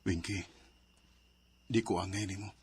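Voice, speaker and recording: A man playing a shy, humble student from the province in a radio drama, soft-spoken and hesitant, with a Cebuano Filipino accent. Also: low-pitched, fairly even intonation, unhurried, husky, full character voice. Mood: humble, grateful.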